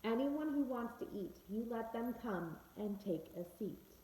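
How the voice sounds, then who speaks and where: A woman's voice: fairly high pitch, lively intonation, unhurried, mellow. A woman reading the part of a commanding, generous rich man in a children's storybook parable, giving instructions in an echoey room. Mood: welcoming, firm. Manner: instructing, declarative. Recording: echoey room with faint background noise.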